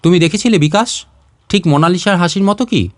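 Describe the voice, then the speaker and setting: A man's voice: high-pitched, fairly quick, no echo, studio quality, natural voice. A man voicing a bold, teasing, self-assured young friend in a story reading. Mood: teasing, coaxing.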